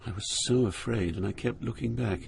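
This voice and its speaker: An older actor playing a solemn poet, narrating his journey through hell in a measured, literary way. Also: mid-range voice, vocal fry, noisy background. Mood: grave, haunted. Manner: grave, measured, poetic.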